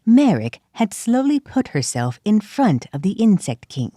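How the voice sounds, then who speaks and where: A woman narrating an audio drama in a calm, measured way. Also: medium pitch, dry recording, studio quality.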